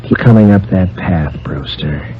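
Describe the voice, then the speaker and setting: Man playing a low, menacing gunman, dull old recording. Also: slight background noise, natural voice. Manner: deliberate, ominous. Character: menacing, obsessed, half-crazed gunman. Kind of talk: 1940s-50s radio drama acting.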